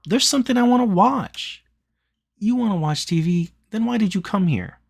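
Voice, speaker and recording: A man's voice: sits high, expressive, moderate pace, gravelly, slightly rough, no room sound, clean studio sound, pitched above the performer's own voice, heavily acted. A man voicing an irritated, indignant young woman from a visual novel. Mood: indignant, pleading.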